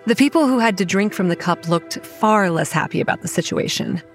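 A young woman playing a wry, anxious campground manager, giving measured first-person narration in a horror audio drama. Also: medium pitch, animated, faint music behind, close to an everyday voice. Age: young adult.

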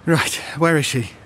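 A man's voice: a little high, fairly bright tone, slight background noise. An actor playing a supportive, concerned male shop colleague in a naturalistic audio drama, helpful and conciliatory.

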